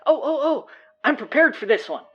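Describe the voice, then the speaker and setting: A woman's voice: high voice, big pitch swings, moderate pace, highs cut off, faint music behind. A woman playing a cheeky, boastful teenage schoolboy in an audio drama, interjecting with playful indignation. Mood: cheeky, indignant. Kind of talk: scripted audio drama dialogue.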